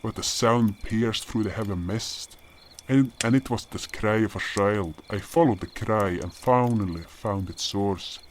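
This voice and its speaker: A man playing an old, gentle spirit guardian, earnestly telling his tales in an audio drama, in a measured, solemn way. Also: lowish voice, natural voice. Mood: solemn, wistful. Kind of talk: audio drama first-person narration and dialogue. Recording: noisy track with cricket ambience. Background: crickets and outdoor night ambience.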